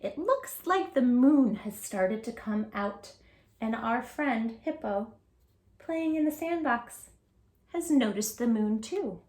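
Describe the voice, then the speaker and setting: A woman in her forties reading a picture book aloud at home, sing-song and animated, asking the listener questions. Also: fairly high pitch, slow, echoey room. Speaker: children's book reader. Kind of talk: read-aloud with tips for parents. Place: home room with posters.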